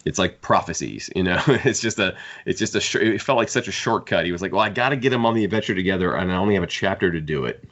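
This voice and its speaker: A man with a very low voice talks casually as a podcast host. He is opinionated and critical as he discusses books. The recording is a little dull and lo-fi. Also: expressive, fast talker, few pauses, a bit bright. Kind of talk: casual book discussion chat.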